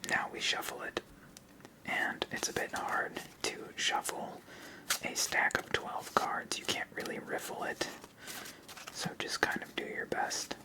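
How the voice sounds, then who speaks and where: A person of unclear sex giving a whispered ASMR tutorial as host, explaining step by step in a soft, unhurried manner. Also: low-quality recording. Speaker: host of a card game channel.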